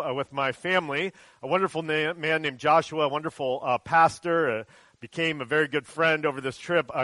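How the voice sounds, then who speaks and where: A man's voice: a little high, pushed and bright, dry recording. A man who is a pastor, preaching a church sermon aimed at children and telling a story in a casual way with many ums and uhs.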